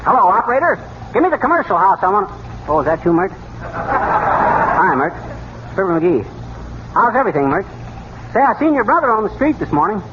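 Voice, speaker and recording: An old, noisy radio comedy recording carries a boastful, folksy bungler. His high voice swoops theatrically through slangy bluster and tall tales. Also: sing-song, fairly quick, pauses often. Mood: flustered, folksy. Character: boastful folksy bungling husband, fast-talking tall-tale teller. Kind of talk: 1930s radio comedy acting. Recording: noisy, dull 1930s radio broadcast.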